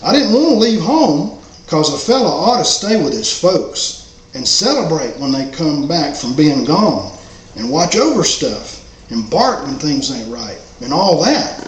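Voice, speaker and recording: A man narrates as a humble, hopeful stray dog, with folksy country phrasing. His slightly high voice swings widely in pitch as he tells the story. The recording is roomy and echoey. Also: big pitch swings, measured pace. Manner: folksy, drawling rural phrasing. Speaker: author reading own story. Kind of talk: first-person character storytelling. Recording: roomy echo, faint background noise.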